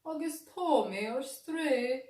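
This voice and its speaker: A woman's voice: high voice, animated, slow pace, a little mellow, voice pushed up. An Irish woman voicing a small, sweet, earnest lost male dog in a children's storybook. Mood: forlorn. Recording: echoey room, distant microphone.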